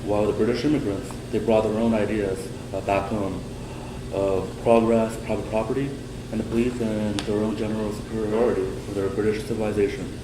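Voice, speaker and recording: A young male student giving a prepared class presentation in a steady way, slowing on key words. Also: fairly low pitch. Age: young adult. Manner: steady, prepared delivery with some slow stresses. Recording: echoey room with air-conditioning hum.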